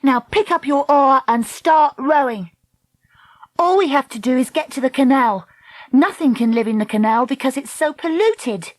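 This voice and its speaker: A woman voicing a bold, stern young girl adventurer in a children's story, brisk and commanding. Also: high-pitched, lively intonation, dry recording, voice pushed up.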